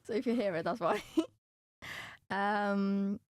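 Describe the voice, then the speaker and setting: A woman's voice: medium pitch, slow pace. A young woman with a Romanian accent, chatting casually as a student podcast co-host. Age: young adult. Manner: giggly, laughs often.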